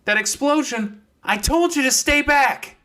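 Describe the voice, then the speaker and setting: Man voicing a brisk, blunt young woman. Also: very high voice, lively intonation, pushed and bright, pitched above the performer's own voice, full character voice. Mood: exasperated. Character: slim, blunt young woman in a fox mask.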